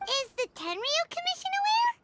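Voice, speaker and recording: A woman voicing a tiny, excitable fairy companion in a video game, childlike and perky. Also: very high voice, normal speed, background noise, exaggerated voice. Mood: curious, surprised. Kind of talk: scripted video game character dialogue.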